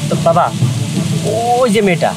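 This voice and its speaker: A man's voice: a little high, very animated, normal speed, loud music behind the voice. A man playing a poor, lovesick young villager in a drama, humble, deferential and beseeching. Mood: pleading.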